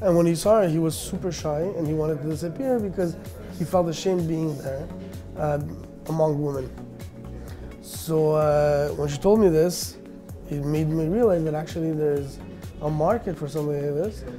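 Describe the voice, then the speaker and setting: A man's voice: fairly high pitch, normal speed, over music. A man in his thirties, born in Russia and raised in Canada, answering interview questions in a casual, hesitant way with many fillers and restarts. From Armenian, born in Russia, raised in Canada. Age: 30s. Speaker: business owner.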